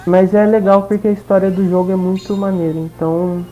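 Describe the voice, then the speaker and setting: A woman's voice: medium pitch, flat delivery, fairly quick, mellow, lo-fi sound, faint music behind. A Brazilian woman giving casual let's play commentary on a video game. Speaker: gameplay commentator.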